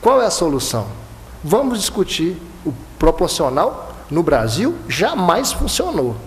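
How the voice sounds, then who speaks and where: A fairly high-voiced man speaks with a Brazilian accent from the border of Minas Gerais and Bahia. His debate talk is emphatic, and his voice jumps up into indignant bursts. A long hall reverb trails behind him. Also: huge pitch swings, measured pace, slight background noise. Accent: Brazilian Portuguese, Minas–Bahia border. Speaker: electoral prosecutor in a debate.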